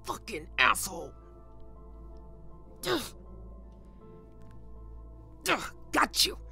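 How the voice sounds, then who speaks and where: A man's voice: sits high, huge pitch swings, gravelly, exaggerated voice. A man playing a ruthless, cocky mob boss with a protective streak in an ASMR roleplay drama, commanding and taunting. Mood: angry, commanding. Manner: commanding, taunting, slow deliberate delivery. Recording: loud music bed under voice. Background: music.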